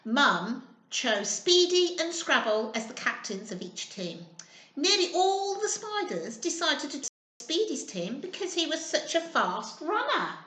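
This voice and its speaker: A woman's voice: high voice, big pitch swings, measured pace, sharp tone. A woman reading a picture book expressively to young children, prompting them with simple questions. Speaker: children's storyteller. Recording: roomy, echoing indoor sound.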